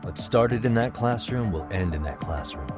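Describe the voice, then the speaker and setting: Actor as a deep-voiced, composed detective. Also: male voice, expressive, moderate pace, music in the background, close to an everyday voice. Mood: confident. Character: composed, confident male detective, reasoning and steady. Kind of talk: English-dubbed video game cutscene acting.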